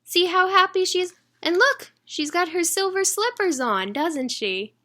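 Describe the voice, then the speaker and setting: A woman's voice: very high voice, expressive, a little slow, bright, forceful tone. A woman reading a children's story aloud expressively, engaging young listeners as she goes. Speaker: children's read-aloud reader.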